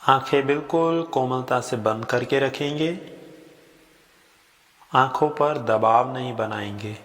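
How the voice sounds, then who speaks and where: Male meditation instructor: gentle, very slow delivery of short spaced-out instructions, voice carried in a long reverberant tail. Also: medium pitch. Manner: unhurried, gentle, short phrases with long pauses. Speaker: yoga nidra guide. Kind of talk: guided sleep meditation, slow spoken instructions.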